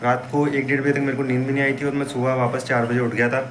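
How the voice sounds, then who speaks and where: A young man with a hoarse voice and a blocked nose, giving an upbeat pep talk to viewers in a casual fitness vlog. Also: mid-range voice, little pitch movement, quick pace. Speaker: fitness vlogger. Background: street traffic and wind.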